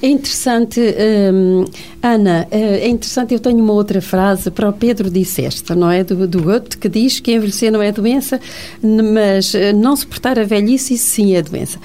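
Woman, radio host, mellow, lots of ehs. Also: medium pitch, moderate pace. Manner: hesitant, many fillers. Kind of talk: radio talk show conversation. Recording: radio studio.

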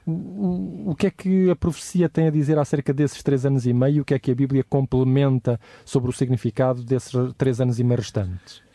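Quick guy chatting through a bible study, dark mellow voice, dry recording. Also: medium pitch, steady tone, no echo. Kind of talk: conversational Bible discussion.

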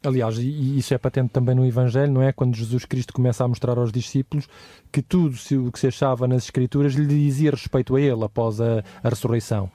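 A man's voice: mid-range voice, fairly even intonation, fast talker, mellow, dry recording. A man co-presenting a Bible study in a conversational way, explaining and putting questions.

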